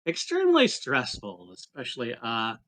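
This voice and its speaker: A man's voice: medium pitch, wildly swooping pitch, normal speed, a bit bright. A man taking part in a tabletop roleplaying game, talking casually and narrating his character.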